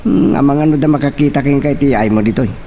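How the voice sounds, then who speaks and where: A man's voice: mid-range voice, fast, warm tone, slight background noise, close to an everyday voice. A man with an Ilocano accent playing a casual, evasive young man in an amateur audio drama. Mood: hesitant, casual. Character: easygoing, evasive young man, a son and boyfriend.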